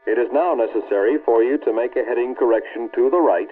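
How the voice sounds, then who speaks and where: An American man reads scripted instructional narration for an early 1960s military film in a formal, authoritative, measured way. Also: mid-range voice, slightly rough. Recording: muffled, band-limited old film soundtrack.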